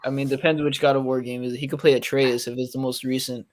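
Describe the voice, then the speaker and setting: A man's voice: medium pitch, little pitch movement, quick pace, no echo. A man hosting a podcast in casual, conversational talk. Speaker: podcast host. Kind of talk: casual chat.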